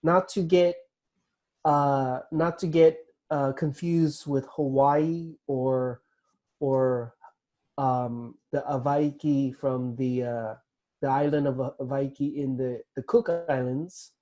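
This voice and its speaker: A man explaining cultural traditions in a hesitant way, pausing often with fillers and restarts. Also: mid-range voice, steady tone, mellow. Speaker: guest explaining Polynesian culture. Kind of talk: casual explanatory talk.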